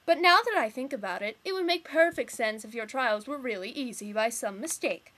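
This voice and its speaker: A woman performs a high-pitched young troll girl in fandub style. She is bossy and theatrical, mocking whoever she talks to with impatient, sarcastic bite. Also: lively intonation, a little fast, sharp tone. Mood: lecturing, condescending. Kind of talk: amateur fandub audition acting.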